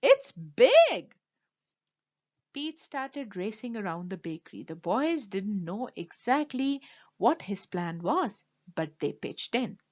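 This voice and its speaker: Female storyteller for kids' picture books: unhurried, emphatic read-aloud with big swings in pitch. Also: lo-fi sound. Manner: animated, emphatic delivery for children. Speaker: storyteller reading a children's book.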